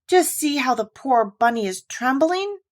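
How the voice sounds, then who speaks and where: Woman as a high, scolding rag doll lady. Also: lively intonation, measured pace.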